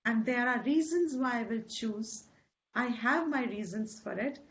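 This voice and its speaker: A woman's voice: on the high side, measured pace, dull audio. A woman giving a Vedanta class lecture, explaining terms patiently and repeating key words, with asides in Hindi. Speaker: Vedanta teacher.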